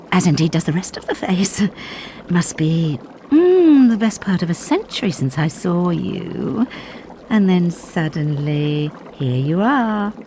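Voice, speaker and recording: A woman's voice: on the low side, background noise, full character voice. Melodramatic witch, wildly swooping voice. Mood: surprised, musing. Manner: theatrical, swooping from murmurs to shrieks. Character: eccentric doting witch, melodramatic and volatile. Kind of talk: animated game cutscene acting.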